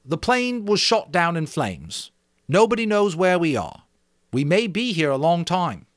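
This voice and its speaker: A man's voice: a little high, very animated, unhurried, no room sound, clean studio sound, pitched above the performer's own voice. A man reading a novel aloud, playing an earnest boy who leads a meeting with emphatic, declarative speech. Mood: grave, insistent. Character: earnest fair-haired boy chairing a meeting as leader. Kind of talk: amateur dramatic reading of a novel.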